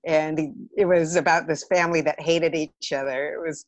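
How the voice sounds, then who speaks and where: A woman's voice: sits low, fairly even intonation, moderate pace, no room sound. A woman, telling stories candidly and with self-deprecating humour as a guest in a casual interview. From Los Angeles area, United States. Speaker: podcast guest, theatre director.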